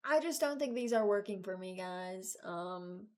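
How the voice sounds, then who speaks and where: A young adult woman giving casual, unscripted reaction commentary, hesitant and thinking out loud. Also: medium pitch, animated, unhurried. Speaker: video creator ranking game voice lines.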